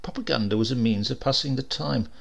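A man's voice: medium pitch, quick pace, vocal fry. A man narrating a comic short story in a dry, wry storytelling manner. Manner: dry, wry storytelling with lively voiced dialogue.

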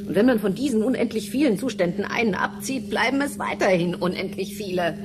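A woman's voice: medium pitch, fairly quick, lightly acted. Female scientist played by an actress, radio sci-fi: mid-range voice, logical explanatory delivery, noisy ambience. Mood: matter-of-fact. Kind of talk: science-fiction radio drama dialogue. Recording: clearly noisy ambient background.

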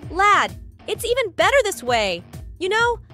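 Woman voicing a high, moody cartoon cat. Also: very animated, unhurried, sharp tone, soft background music, full character voice. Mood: startled, annoyed. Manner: theatrical, exclamatory, swinging between sweet and snappish. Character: moody purple cartoon cat, volatile and secretly smitten. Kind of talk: animated comic dub acting.

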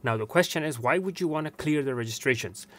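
An older man presenting a step-by-step technical tutorial in a halting, explanatory way. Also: medium pitch. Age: older, around 60. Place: small cluttered indoor workroom. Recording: clear background room noise. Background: steady room noise.

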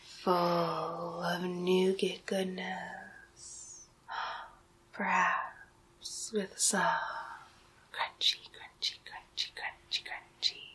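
A woman in her thirties playing a seductive, coaxing Halloween monster in a breathy whispered ASMR roleplay, drawing out her words close to the microphone. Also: lowish voice, monotone, sharp tone, exaggerated voice. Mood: enticing. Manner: slow, coaxing, drawn-out words.

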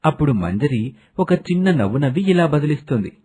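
A man's voice: on the high side, big pitch swings, a little fast, mellow, breathy edge. A man narrating a scripted moral story as a storyteller.

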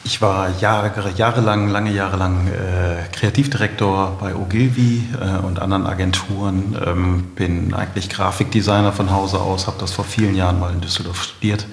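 A middle-aged man with a deep voice and a gravelly creak. He talks hesitantly as an interview guest, in a roomy, echoing recording. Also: level tone, moderate pace, hardly any pauses. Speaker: company founder, interview guest. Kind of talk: conversational interview answers.

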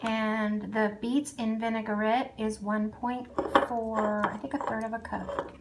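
A casual, chatty woman with a slightly raised voice talks through a grocery haul, thinking aloud and filling pauses with ums. She records on a phone in her home kitchen. The recording carries a steady layer of background noise. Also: fairly high pitch. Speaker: vlogger.

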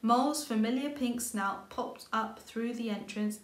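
Female storybook reader, thirties, higher register, expressive delivery, roomy home sound. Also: measured pace, slight background noise. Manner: expressive reading with character lines. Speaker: author reading aloud. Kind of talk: storybook narration for children. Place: indoor room with bookshelves.

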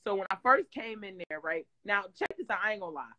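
Guest: rough-voiced woman, 40s, lively storyteller on a home video call. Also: mid-range voice, fast, muffled recording. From Detroit. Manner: animated storytelling, loud swings, laughs. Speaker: interview guest, former reality-show singer. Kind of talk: casual chat. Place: home room with green wall backdrop, video call.

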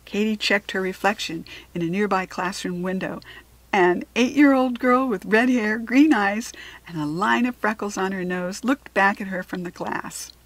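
An American woman narrating a children's story expressively, with deliberate dramatic emphasis on key words. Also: mid-range voice, steady tone, dry recording, poor audio. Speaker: storybook reader.

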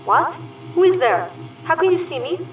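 A woman's voice: very high voice, sing-song, moderate pace. A woman playing a haughty, menacing Soviet spy ghost in an audio drama, stern and scornful, with a Russian accent. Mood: defiant. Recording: roomy, distant, faintly noisy.

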